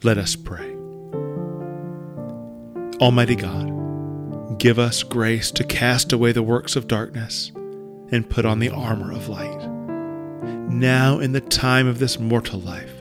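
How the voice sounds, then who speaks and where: A man recites a formal prayer with solemn reverence. His voice is medium in pitch, and piano music plays clearly behind him. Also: takes it slowly, pauses often. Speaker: prayer leader.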